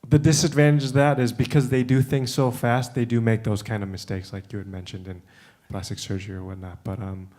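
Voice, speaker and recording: A man who grew up in the United States, speaking on a conference panel in a relaxed way with many fillers and restarts. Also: medium pitch, fairly quick, few pauses. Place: conference room.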